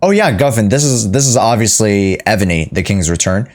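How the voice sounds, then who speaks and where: A man's voice: lowish voice, lively intonation, fairly quick, a little mellow. A man doing casual live reaction commentary as a video game streamer, talking to his chat in slangy, offhand phrases.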